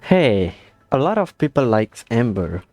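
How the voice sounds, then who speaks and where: A man's voice: mid-range voice, big pitch swings, unhurried, noisy background. A man giving laid-back, casual let's play commentary in short reactions. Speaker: gamer playing and reacting. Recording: voice over game music.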